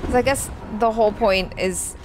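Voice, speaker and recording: A woman's voice: a little high, moderate pace, background noise. A young woman chatting casually as a reaction host, thinking aloud in a conversational way. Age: young adult. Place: small indoor studio. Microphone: headset boom mic.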